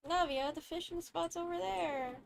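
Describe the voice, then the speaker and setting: A woman's voice: extremely high pitch, normal speed, vocal fry, background noise. A woman streaming a game with casual commentary and wry asides. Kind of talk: casual live gameplay commentary.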